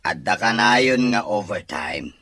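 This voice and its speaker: An actor playing an evasive, cheating husband in an audio drama, sweet-talking and smooth. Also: male voice, fairly low pitch, expressive, takes it slowly, crowd noise. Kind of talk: Ilocano audio drama acting.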